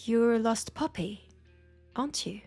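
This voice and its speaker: Casting: actress as teasing French-accented witch for intimate fantasy roleplay audio. Husky, gravelly edge, very slow delivery with dramatic pauses. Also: a little high, expressive, warm tone, soft background music, heavily acted. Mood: knowing, mysterious. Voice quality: husky, breathy with creak. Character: knowing, teasing, compassionate witch in a secluded werewolf town.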